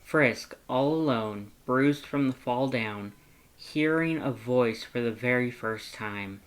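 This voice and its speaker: A man reading a story aloud as an audiobook narrator, in a measured, gentle manner. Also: medium pitch, poor audio. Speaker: fan fiction audiobook narrator.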